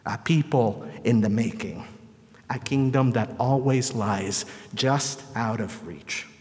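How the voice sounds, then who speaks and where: Male preacher, medium-pitched, measured rhetorical sermon delivery, recorded in a large hall with long reverb. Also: a little mellow. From El Salvador. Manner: measured, rhetorical repetition and deliberate phrasing.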